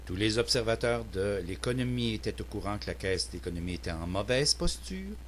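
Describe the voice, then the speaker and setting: A man's voice: fairly low pitch, lively intonation, brisk, slight background noise. A man with a Québécois French accent delivering a prepared political opinion monologue in a formal, earnest, declamatory manner. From Quebec, Canada. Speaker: citizen political commentator.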